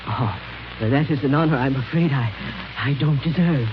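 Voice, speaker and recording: A man's voice: mid-range voice, moderate pace, natural voice. An actor playing a deferential, trusting male ruler of an alien moon, eager and obliging in 1930s radio serial style. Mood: humble. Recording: dull, hissy 1930s radio recording.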